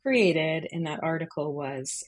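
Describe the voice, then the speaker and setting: A woman's voice: low-pitched, expressive, measured pace, husky. A woman speaking as an interview guest, telling an anecdote in a casual, conversational style. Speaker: interview guest, freelance journalist.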